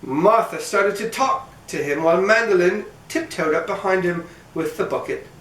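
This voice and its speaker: A man's voice: high voice, normal speed, fairly bright tone. A man reading a children's storybook aloud as host, narrating expressively and playfully for young listeners. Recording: roomy echo, mic at some distance.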